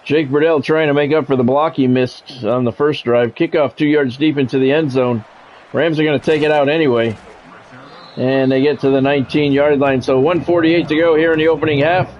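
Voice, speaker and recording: A man's voice: on the high side, steady tone, a little fast, a little mellow, dull audio, a little hiss or hum. Man calling a football video game play-by-play. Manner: radio-style play-by-play, breaks into casual swearing and greets chat viewers. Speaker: livestreaming play-by-play commentator.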